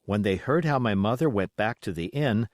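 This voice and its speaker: A man reading a storybook aloud as scripted, expressive narration. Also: on the low side, moderate pace, dry recording, studio quality. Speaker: audiobook reader.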